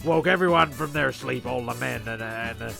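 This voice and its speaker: A man's voice: medium pitch, moderate pace, vocal fry. A man narrating a comic story in an offhand, stumbling way, often repeating phrases. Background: music.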